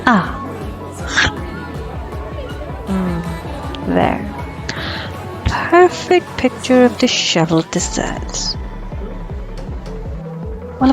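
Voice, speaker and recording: Woman playing a teasing, cocky vampire, music behind. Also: mid-range voice. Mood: wry, coaxing. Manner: sardonic, playful, casually swearing. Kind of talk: ASMR roleplay audio drama.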